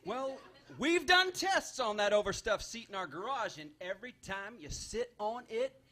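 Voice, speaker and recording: Guy doing a bantering radio host brother, really high bright voice, faint noise behind. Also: expressive, normal speed, full character voice. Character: joking bike-advice radio host, one of two brothers. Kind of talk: live radio comedy sketch. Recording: live stage recording with background noise.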